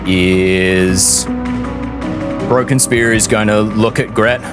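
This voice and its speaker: Slow, low-voiced man as game master. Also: fairly even intonation, over music. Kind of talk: narrating a tabletop role-playing game.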